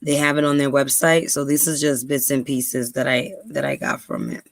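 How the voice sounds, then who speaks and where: A woman's voice: rumbling low, flat delivery, normal speed, gravelly, no room sound, studio quality. Earnest New woman vlogger. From New York, USA. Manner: earnest, many ums and repeats. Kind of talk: casual talk to camera, then reading aloud.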